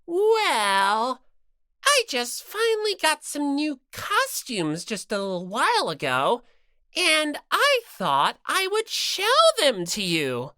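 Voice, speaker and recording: A woman's voice: high-pitched, huge pitch swings, takes it slowly, bright, forceful tone, no echo, clean studio sound, voice pushed up, heavily acted. A woman voicing a mean, mischievous bear mascot in an audiobook, taunting in a theatrical, mock-sweet way. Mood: showy, scheming. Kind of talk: dramatized audiobook character reading.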